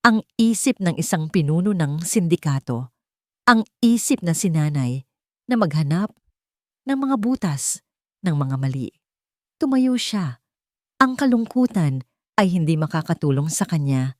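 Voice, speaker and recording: Female narrator for dramatic romance-thriller story. Lowish register, animated intonation, deliberate phrasing. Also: no room sound, studio quality.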